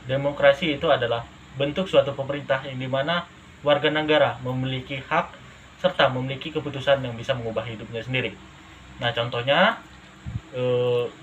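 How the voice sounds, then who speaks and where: A young male student, presenting a prepared opinion formally and a little haltingly. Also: medium pitch, a bit bright. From West Kalimantan, Indonesia. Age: young adult. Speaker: university student interviewee. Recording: dull lo-fi audio, faint noise.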